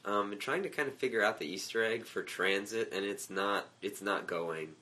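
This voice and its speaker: A man co-hosting a casual gaming podcast, his voice a little worn from a cold, talking in a relaxed way with laughs between points. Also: fairly low pitch, moderate pace. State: slightly lost voice. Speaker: podcast co-host.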